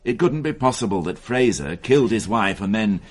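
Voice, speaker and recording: An actor playing an exasperated senior police detective in a radio drama, sharp-tongued and barking orders. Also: male voice, fairly low pitch, expressive, fairly quick. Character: irritable senior police detective, middle-aged man.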